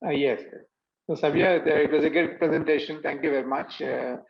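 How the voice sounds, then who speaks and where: A man asking a business question over a video call, hesitant with many "uh" pauses. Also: fairly high pitch, fairly even intonation, gravelly. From India. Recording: dull, muffled call audio.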